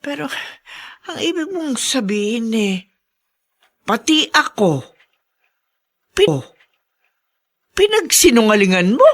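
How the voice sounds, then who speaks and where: An actress playing a concerned older Filipino confidante in a radio drama, halting, incredulous and scolding. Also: female voice, fairly high pitch, very animated, exaggerated voice.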